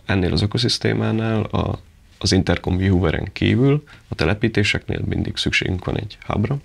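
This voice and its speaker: A man's voice: deep voice, vocal fry, a bit bright, slightly rough. A man presenting a product in an unscripted, informal and explanatory way.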